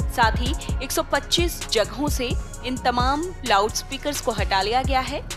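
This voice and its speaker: High-voiced woman reading news over music. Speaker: news anchor reading a report. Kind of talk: scripted news narration. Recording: music playing behind the voice.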